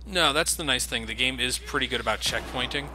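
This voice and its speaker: A man commentates casually on a video game at an ordinary male pitch. He is sarcastic and self-mocking and swears freely. Game battle noise runs clearly behind his voice. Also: fairly quick. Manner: sarcastic, self-deprecating, profane. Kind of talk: casual let's play commentary. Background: video game gunfire and vehicles.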